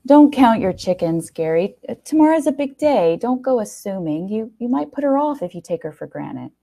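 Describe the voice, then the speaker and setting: A jealous false friend, a young man who speaks in clipped, sardonic lines, played by a woman reading a story. The voice is mellow and gravelly, guarded in its delivery. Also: on the high side, sing-song, moderate pace, voice pushed up, exaggerated voice. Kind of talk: storybook character dialogue.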